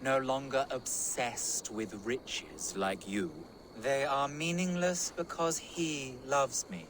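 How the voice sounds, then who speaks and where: A man's voice: medium pitch, a bit bright, a little hiss or hum. A man playing a sanctimonious converted monk in a video game, self-satisfied, with murderous rage barely buried. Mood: smugly pious. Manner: pious, self-satisfied, slow. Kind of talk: video game cutscene acting.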